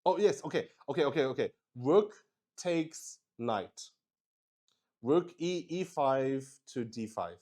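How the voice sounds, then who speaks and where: A man with a medium-pitched voice improvises narration for a solo roleplaying game. He takes his time overall, halting and repeating words as he thinks aloud. His delivery is excitable and casual, with mild swearing.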